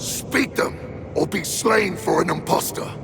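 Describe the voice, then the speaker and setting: An actor playing a stern, authoritative space marine lord in a video game. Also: male voice, high-pitched, a little slow, vocal fry, long reverb tail, music in the background, heavily acted.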